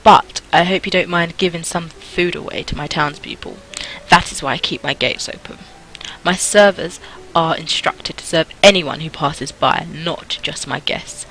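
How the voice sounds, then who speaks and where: A woman's voice: sits low, normal speed, fairly bright tone, slight background noise, low-quality recording. Woman putting on a suave, slyly sarcastic young nobleman. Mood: polite sarcasm. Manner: smooth, formal, mock-apologetic.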